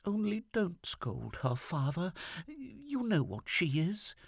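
A man's voice: high-pitched, wildly swooping pitch, creaky, no echo, studio quality, voice pushed up, exaggerated voice. A man voicing a timid, wounded middle-aged mother, coaxing and tearful, in a story reading. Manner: coaxing, timid, slow and emphatic.

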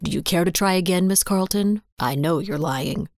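A woman's voice: normal speed, a little mellow, no echo, studio quality, natural voice. A woman voicing a stern, suspicious male sheriff with a commanding manner in an audiobook story. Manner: low, clipped, commanding. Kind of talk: audiobook character dialogue.

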